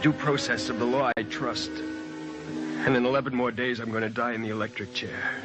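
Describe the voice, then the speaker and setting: A man acts out a condemned prisoner from an old radio play, resigned and bitter. He speaks at a middle pitch with drained, deliberate delivery, and loud music runs behind him. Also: flat delivery, close to an everyday voice. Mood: resigned, numb. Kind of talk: mid-century radio drama acting.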